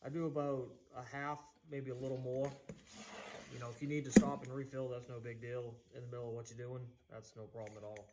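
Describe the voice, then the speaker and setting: A man's voice: medium pitch, little pitch movement, fairly quick, heavy background noise. A man with a Boston accent giving a casual, chatty how-to demonstration in his home workshop, with loose folksy asides. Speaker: DIY woodworking channel host.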